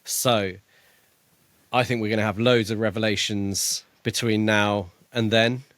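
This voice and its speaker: Male podcast co-host, low voice, dry close sound, casual book chat with self-deprecating, hesitant phrasing. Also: steady tone, a little slow, fairly bright tone, no room sound.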